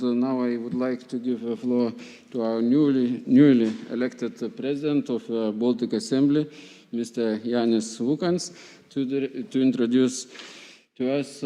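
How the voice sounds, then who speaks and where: A man chairs a parliamentary session in a halting manner, with many fillers. His voice is mellow and dark. It rings with the long reverb of a large hall. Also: medium pitch.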